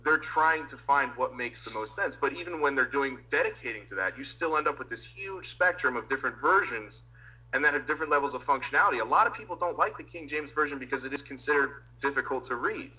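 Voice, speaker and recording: A man in a casual discussion who explains ideas at length in a halting way, with many fillers. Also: medium pitch, lively intonation, pushed and bright, breathy edge, poor audio.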